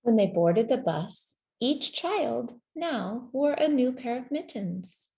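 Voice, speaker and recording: Woman, children's storybook narrator, slow pace, soft mellow tone, gentle and measured, deliberate pauses. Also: fairly high pitch, animated, gravelly. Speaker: storybook reader. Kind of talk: scripted children's story narration, read aloud.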